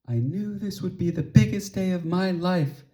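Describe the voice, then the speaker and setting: A man's voice: sits high, normal speed, heavy background noise, pitched above the performer's own voice, lightly acted. A man voicing an eager, dramatic little girl in a storybook, earnest and emphatic.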